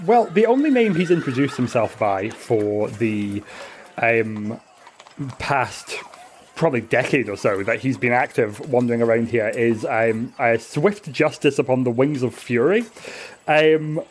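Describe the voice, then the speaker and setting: A man narrating his character in a tabletop roleplaying game with deliberate, literary wording. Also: mid-range voice, lively intonation, a little hiss or hum.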